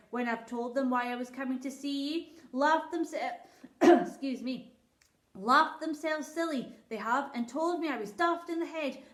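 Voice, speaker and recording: Old rustic shepherd, earnest and grieving, pitched high, read by a woman, roomy sound. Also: a bit bright. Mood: pleading. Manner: rustic dialect, pleading tone. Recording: roomy echo.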